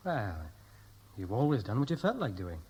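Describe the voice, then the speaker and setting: A dutiful young Welshman soon to marry, in a vintage radio play. He sounds boyish, chatty and a bit hesitant. His husky voice moves up and down freely with a Welsh accent. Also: medium pitch, big pitch swings, moderate pace, a little mellow. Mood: hesitant, wistful. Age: young adult. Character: eager, dutiful, unworldly young Welsh groom, aged twenty-two. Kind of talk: 1960s radio drama acting.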